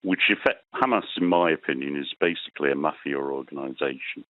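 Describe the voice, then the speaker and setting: Male caller, very low voice, hesitant with fillers, dry thin phone sound. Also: fairly quick, no room sound. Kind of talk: phone-in debate. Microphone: telephone. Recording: tinny phone line, dry.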